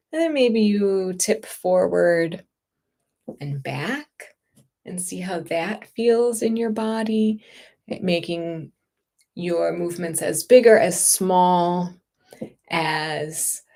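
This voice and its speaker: A woman meditation teacher with a lowish voice that carries a creaky edge. She guides gentle movement and stillness very slowly, leaving plenty of room between phrases, with a reassuring, unhurried manner.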